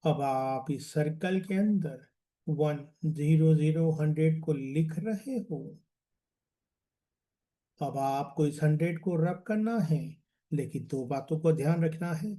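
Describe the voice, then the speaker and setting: Very slow man guiding meditation. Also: fairly high pitch, mellow. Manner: soothing, deliberate, repetitive phrasing with trailing drops. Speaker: hypnotherapy and guided meditation coach. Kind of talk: guided meditation, hypnotic relaxation induction.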